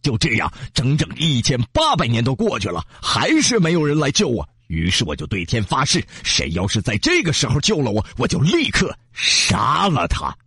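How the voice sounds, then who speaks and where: A man's voice: medium pitch, wildly swooping pitch, normal speed, few pauses, bright, forceful tone, husky, no echo, studio quality, pitched above the performer's own voice, heavily acted. A man voicing a cruel, gloating giant demon in a children's storybook tale, breaking into mocking laughter.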